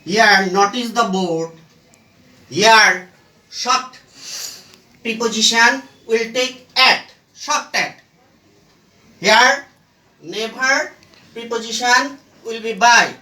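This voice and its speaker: Casting: grammar teacher, extremely slow and halting delivery, bright forceful voice, echoey room recording. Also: mid-range voice. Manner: halting, short phrases, asks and answers own questions. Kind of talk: step-by-step grammar lesson. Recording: echoey room, far from the mic.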